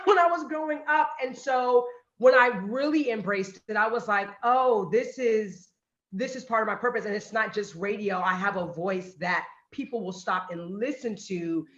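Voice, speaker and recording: Woman telling a lively story, echoey. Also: fairly high pitch, dull audio. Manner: animated storytelling, acts out quotes. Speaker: panelist, radio and podcast host. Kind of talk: panel talk, personal story.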